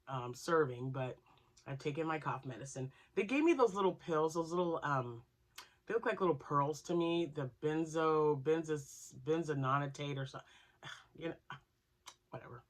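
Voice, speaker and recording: A woman's voice: low voice, normal speed. A woman hosting her crochet channel, chatting casually and rambling with asides, still nasal from a cold. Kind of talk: casual chat to camera.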